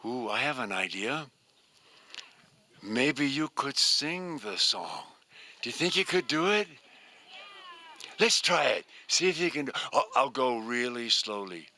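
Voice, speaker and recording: An older man telling a story live to an audience, slowly and expressively in drawn-out phrases. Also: mid-range voice, lively intonation, bright, forceful tone.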